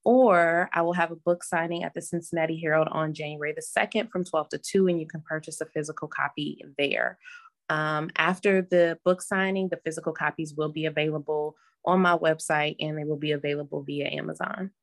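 A low-pitched woman speaks reflectively about her advice work. She pauses and hesitates often, talking in an easy, conversational way. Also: fairly even intonation. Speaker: advice columnist guest. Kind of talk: interview answers.

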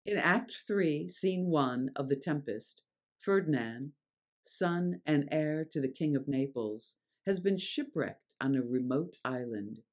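A narrator sets up a scene from a script. The voice is mid-pitched and very slow, delivering the summary phrase by phrase like a storyteller. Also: lively intonation.

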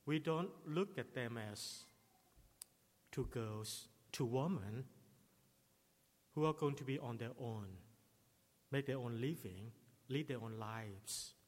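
A middle-aged man whose first language is Thai preaches in English, choosing his words slowly and with care. His voice sits at an ordinary pitch for a man. The sound carries the long reverb of a church hall. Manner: slow, measured delivery with non-native English phrasing. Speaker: pastor. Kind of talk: sermon.

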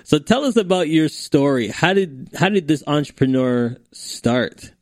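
Lively, animated male podcast host. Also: on the high side, unhurried, no room sound. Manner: enthusiastic, repeats words, hypes the guest. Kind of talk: casual interview hosting.

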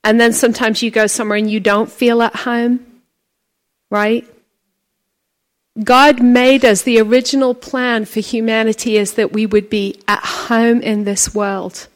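Talkative woman with a loud voice. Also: a little high, unhurried. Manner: chatty, animated storytelling with acted quotes. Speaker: conference speaker. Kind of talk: conference sermon.

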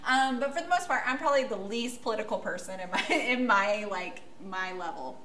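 Woman, outspoken, blunt, bright, roomy echo. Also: mid-range voice, a little fast, slight background noise. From United States. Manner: loud, outspoken, blunt, laughing. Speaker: podcast guest. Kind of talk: casual podcast chat. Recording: echoey room.

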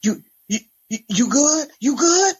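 A woman's voice: high-pitched, lively intonation, measured pace, voice pushed up. A woman voicing a frantic male parking worker who stutters and repeats his words. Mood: frantic, concerned. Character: frantic, stammering male parking ministry worker, anxious to help.

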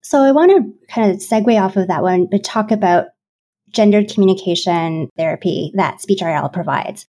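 Reflective woman host, mellow tone. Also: mid-range voice, normal speed. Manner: reflective, candid, thinking aloud. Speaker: podcast host. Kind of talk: interview questions.

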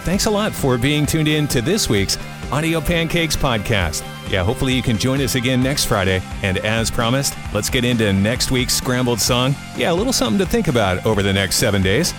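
A man's voice: mid-range voice, very animated, fairly quick. A man hosting a morning-show style program with casual, wisecracking, conversational patter. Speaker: radio show host. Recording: music bed behind the voice. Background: background music.

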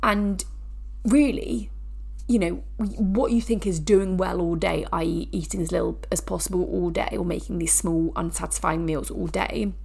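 A woman's voice: on the low side, steady tone, normal speed, vocal fry, a little mellow, husky. Woman with a blocked, nasal voice. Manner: chatty, frequent restarts and asides. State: blocked sinuses, nasal from a cold. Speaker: podcast host. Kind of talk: casual educational podcast talk.

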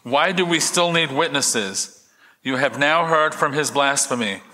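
An accusing high priest in a scripture drama, read by a man, with a bright, forceful voice. It rings with long reverb over clear background noise. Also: measured pace. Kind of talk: dramatized group scripture reading. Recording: noisy, long church reverb.

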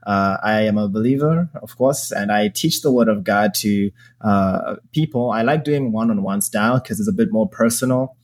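Low-pitched earnest man hosting a podcast. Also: normal speed. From Zimbabwe. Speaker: podcast host. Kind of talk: personal testimony in casual podcast talk.

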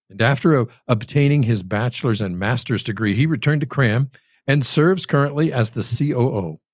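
A man's voice: mid-range voice, no echo, studio quality. A man hosting an interview, introducing his guest and then chatting with him in a friendly, easygoing way. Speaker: host.

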